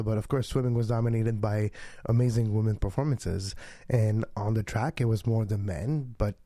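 A chatty man co-hosting a sports podcast, his mid-range voice sitting close and dry on the mic. He laughs easily and his pitch leaps up when he gets excited. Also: dry recording. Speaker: podcast co-host. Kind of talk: casual sports chat.

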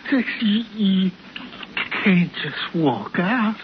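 An injured outlaw in a high, weak voice. The words come very slowly and haltingly, full of pain and desperation. It is an old radio thriller recording, muffled and heavy with noise. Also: male voice, lively intonation, exaggerated voice. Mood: desperate pleading. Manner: slow, halting, pleading. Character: badly wounded outlaw, desperate and pleading, left behind. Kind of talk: 1950s radio drama acting.

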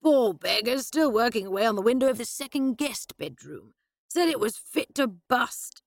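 A fussy elderly English gentleman, as a woman reads him, blustering and hesitant in his old-fashioned way. The voice climbs and dips in a lively sing-song, pitched a little above the reader's own and set a touch back from the mic. The recording is dry and close, with no room sound. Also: moderate pace, vocal fry, no echo. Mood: flustered. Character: fussy older upper-class English gentleman.

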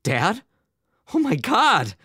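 Man playing an anxious, emotional grown son, raised pitch. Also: animated, normal speed, no room sound, clean studio sound, lightly acted. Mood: frantic, loud. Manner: emotional, sighing, pleading. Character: Albert, unhappy, anxious grown son, easily overwhelmed.

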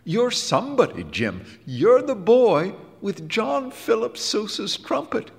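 A man's voice: high voice, big pitch swings, slow pace, vocal fry, slightly rough, voice pushed up, exaggerated voice. An earnest, emotional band teacher, played by a male storyteller, pleads in a voice that climbs and swoops. He speaks unhurriedly, and a long reverb trails each word. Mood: coaxing. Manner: impassioned, pleading.

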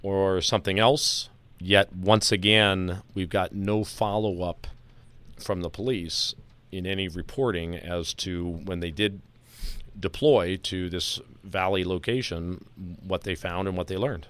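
A man hosting a talk, discussing audio clips in a serious, deliberate, analytical way. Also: deep voice, fairly even intonation, fairly bright tone, clear, resonant tone. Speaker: podcast host.

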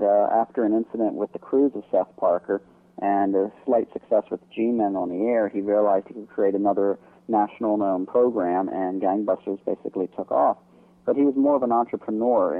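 A man with a lowish voice talks as an interview guest. He explains in an informal way, and his voice comes through a narrow, tinny telephone line.

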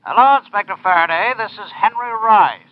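An actor playing a smug, mock-polite criminal villain taunting over the telephone in a 1940s radio crime drama. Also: male voice, high-pitched, fairly quick, dull audio, a little hiss or hum.

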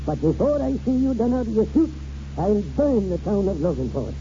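An irreverent, stubborn old grandfather in a 1940s radio drama. The voice is high and very mellow, wry and protective, on a dull broadcast recording. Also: big pitch swings, moderate pace. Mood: wry, fond. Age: older.

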